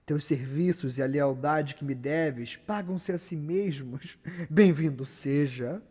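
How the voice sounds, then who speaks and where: A man's voice: on the high side, slow, dark tone. A man with a Brazilian accent playing a grateful, majestic king in Shakespearean theatre, proclaiming grandly and effusively. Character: grateful, majestic king addressing his nobles. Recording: reverberant room with long echo.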